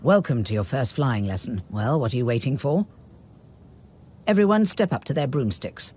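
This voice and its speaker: A performer voicing a commanding, brisk female flying teacher in a wizard video game. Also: medium pitch, animated, a little mellow, a little hiss or hum, lightly acted. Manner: authoritative, brisk. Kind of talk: video game character acting. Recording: music behind.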